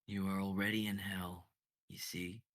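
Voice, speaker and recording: A gloating villain boss, put on by a man, very low-pitched and sharp. He taunts with menace, like the leader of assassins in an amateur dramatic reading. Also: level tone, moderate pace. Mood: gloating, taunting.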